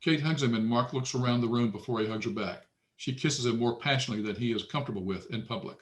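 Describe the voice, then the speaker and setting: A man's voice: medium pitch, quick pace. A man narrating scripted stage directions aloud at a screenplay table read.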